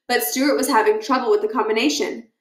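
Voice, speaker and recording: A woman reading a children's book aloud as an expressive storyteller, with animated, deliberate emphasis. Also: on the high side. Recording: echoey, roomy sound.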